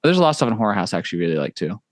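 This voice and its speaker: A man's voice: fairly low pitch, no room sound, studio quality. Easygoing young man hosting a chat. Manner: relaxed, full of "like" and "you know", quick to agree and encourage. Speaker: podcast host. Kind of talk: casual interview chat.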